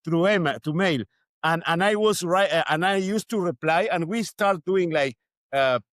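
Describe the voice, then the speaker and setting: Male podcast interviewer, fairly high voice, halting non-native delivery, casual, dry close sound. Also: fairly bright tone, no room sound. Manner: halting, repeats words in non-native English.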